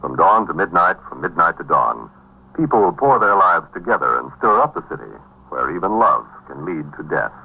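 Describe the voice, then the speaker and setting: A man announcing a 1950s radio program in a solemn, dramatic, scripted style with deliberate phrasing. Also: low-pitched, big pitch swings, gravelly, husky. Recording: muffled 1950s radio recording.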